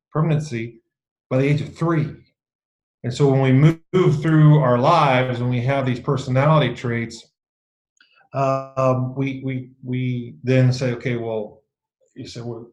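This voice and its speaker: A middle-aged man with a low voice presenting a leadership course to a group in a meeting room, casual and dryly self-mocking, often restarting his sentences. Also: darkish tone. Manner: dry self-mocking humor, frequent restarts. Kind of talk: casual teaching talk to a small group. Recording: echoey room.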